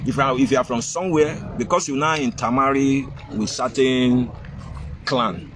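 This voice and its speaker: A man's voice: medium pitch, normal speed, background noise. A Nigerian man being interviewed outdoors, earnest and arguing his point with rhetorical questions. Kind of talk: street interview, mixing English and Igbo.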